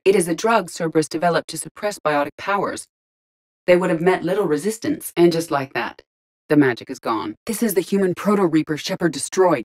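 The ship's composed android crewmate in a sci-fi shooter, briefing the squad in a lowish female voice, formal, exact and analytical. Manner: precise, formal, measured diction. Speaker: game character, squad companion. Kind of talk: video game voice lines, sci-fi acting.

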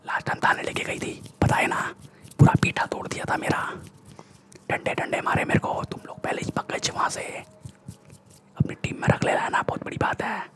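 A young man playing a swaggering, bullying Mumbai gangster in a breathy ASMR whisper, with slangy, boastful Mumbai Hindi speech, close to the microphone. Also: normal speed, lightly acted. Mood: nervous. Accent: Mumbai Hindi street slang. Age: young adult. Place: small room indoors. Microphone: close desktop condenser microphone.

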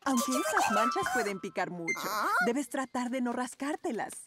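High, swooping caring cartoon mother pug. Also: very animated, moderate pace, over loud music. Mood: gently firm. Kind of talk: children's cartoon dub.

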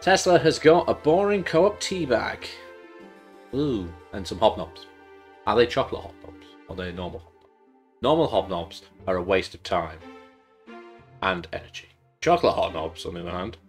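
A man's voice: on the low side, very animated, normal speed, soft background music. A man in his thirties, congested and losing his voice, chatting rambly while streaming a game at home. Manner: rambling, chatty, jokey asides. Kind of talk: casual live-stream chat while playing.

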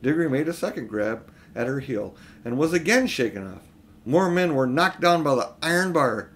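A middle-aged man reading a children's storybook aloud in expressive narration. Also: fairly high pitch, normal speed, a little hiss or hum. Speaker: audiobook reader. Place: small room at home, indoors.

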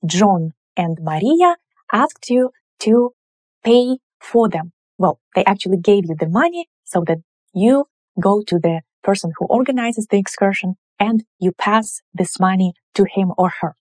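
Woman, thirties, unhurried, patient teacher, dry close recording. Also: medium pitch, a little mellow, dry recording. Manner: slow, clear, patient explaining with gestures. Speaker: language teacher. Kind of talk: whiteboard lesson explaining grammar. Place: indoor room in front of a whiteboard.

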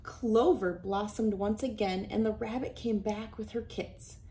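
A woman in her thirties reading a children's storybook aloud with expressive, deliberate delivery. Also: medium pitch, slight background noise. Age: 30s. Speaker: children's book reader. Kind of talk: read-aloud storybook narration. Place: small wood-panelled room indoors.

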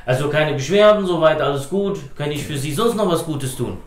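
A man's voice: on the high side, expressive, fairly quick, a little hiss or hum. A man around forty, a dentist explaining treatment in an informal, reassuring way. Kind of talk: informal explanation and patient consultation. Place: small dental clinic room. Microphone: lapel mic.

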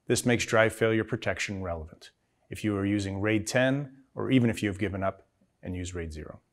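Middle-aged man, low voice, scripted keynote, polished promotional delivery. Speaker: company executive presenting a keynote.

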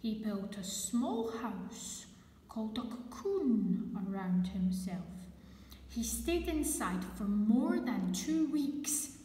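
A woman reads a children's picture book aloud at an extremely slow, deliberate pace. Her fairly high voice swings expressively up and down as she addresses young listeners. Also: sing-song, mellow. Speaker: children's storyteller. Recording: echoey, reverberant room.

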